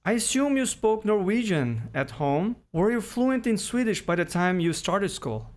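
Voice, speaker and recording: A man interviewing a guest. He has a fairly high voice and asks friendly questions, with short, encouraging replies between them. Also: big pitch swings, moderate pace. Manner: friendly and encouraging, brief acknowledgements.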